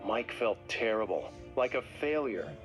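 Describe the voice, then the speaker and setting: A man narrating a scripted read-along story for children in a dramatic, expressive way. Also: on the low side, normal speed. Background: music.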